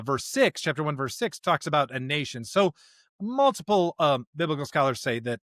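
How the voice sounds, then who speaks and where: A man with an American accent hosting a podcast, talking reflectively about scripture and current events in a halting, searching way. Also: medium pitch, fairly bright tone, no room sound, clean studio sound. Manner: halting, searching delivery with repeated words. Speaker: podcast host.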